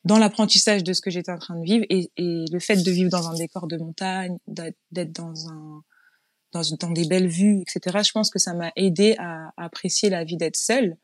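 A woman's voice: lowish voice, steady tone, normal speed, no echo, studio quality. Chatty Frenchwoman telling her story. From France. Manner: chatty, frank storytelling with frequent restarts. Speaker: guest, business owner. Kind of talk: casual interview conversation.

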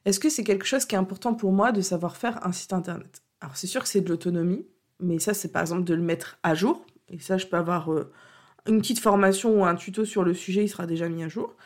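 A woman with a cold talks alone into her podcast mic, unscripted and sincere. She circles back often and pads her thoughts with fillers, with a stuffy, slightly rough edge to her voice. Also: fairly low pitch, quick pace. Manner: hesitant, many fillers and restarts, earnest. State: has a cold. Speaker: podcast host and coach. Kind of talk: unscripted solo podcast talk.

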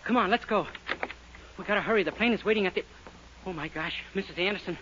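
A boyish, anxious young man in a vintage radio comedy. He talks fast in a high voice, earnest and pleading. The sound is dull with light hiss. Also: fairly bright tone. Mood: urgent. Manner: pleading, hurried. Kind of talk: 1940s radio comedy acting. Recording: dull, hissy old radio recording.

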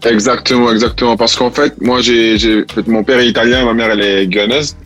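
A man's voice: mid-range voice, steady tone, fairly bright tone, soft background music. A man who grew up in Italy, chatting casually as an interview guest, often restarting and searching for words. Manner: halting, many restarts and fillers. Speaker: rapper guest.

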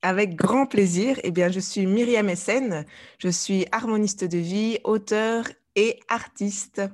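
A woman's voice: medium pitch, slow pace, darkish tone. A woman, a guest in a podcast interview, talking hesitantly with many fillers and restarts. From Belgium. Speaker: guest, life coach and artist. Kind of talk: conversational interview.

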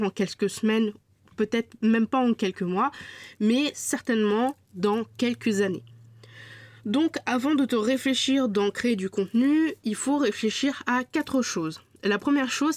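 A woman's voice: on the high side, dry recording. Podcast lady with a blocked nose from a cold, chatty, talks straight at you, very dry close sound. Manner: chatty, addresses listener directly with fillers. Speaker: podcast host. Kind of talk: solo podcast talk.

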